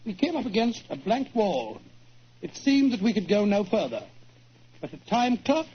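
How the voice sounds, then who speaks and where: Doctor Watson, high-pitched British gentleman. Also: breathy edge, full character voice. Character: Doctor Watson, genial, plain-spoken middle-aged gentleman companion. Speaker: narrator and sidekick. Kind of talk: 1940s radio drama acting. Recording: dull, noisy 1940s radio recording.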